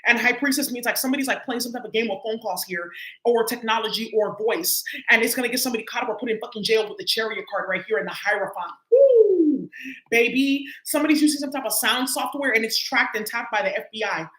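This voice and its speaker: Casting a woman tarot reader, quick and sharp-toned, slangy and profane, recorded in an echoey room. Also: fairly high pitch, lively intonation. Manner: loose, profane, heavy with filler words. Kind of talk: casual tarot reading to viewers.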